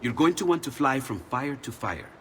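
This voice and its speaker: An actor voicing an excitable male inventor in a video game, musing one moment and overjoyed the next. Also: medium pitch, moderate pace, a little hiss or hum, lightly acted. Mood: explaining. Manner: eager, swings from musing to shouting joy. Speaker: game character.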